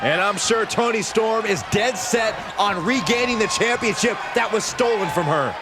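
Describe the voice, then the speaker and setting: A male wrestling commentator delivers dramatic calls in a very high, excited voice. A big crowd roars loudly around him in a noisy arena. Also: moderate pace. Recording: loud arena crowd noise behind. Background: roaring crowd, ring slams.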